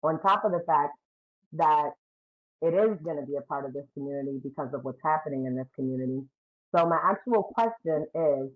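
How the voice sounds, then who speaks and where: Blunt deep-voiced New woman. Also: phone-quality sound. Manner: blunt, talkative, emphatic. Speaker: community member asking questions. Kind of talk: public comment at a community meeting.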